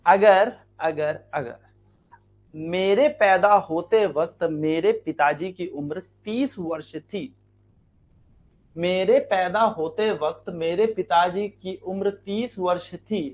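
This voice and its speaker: High-voiced Indian man teaching maths. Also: slow, dull audio. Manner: explains step by step, asks the students questions and answers them; repeats key lines and stretches words out to stress them. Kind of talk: exam-prep maths lesson.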